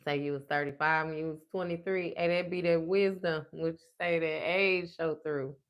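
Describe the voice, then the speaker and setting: A woman's voice: low-pitched, fairly even intonation, moderate pace, highs cut off. A woman in her thirties chatting live with her viewers as a tarot host, blunt and profane. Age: 30s. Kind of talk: casual livestream chat with viewers.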